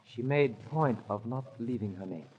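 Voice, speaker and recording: A man's voice: medium pitch, moderate pace, a little mellow, lo-fi sound, natural voice. A man playing a courteous Chinese curio dealer in an old radio drama, speaking in ceremonious, flowery broken English. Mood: sly, measured. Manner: ceremonious, flowery, article-dropping speech. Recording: noisy old radio recording.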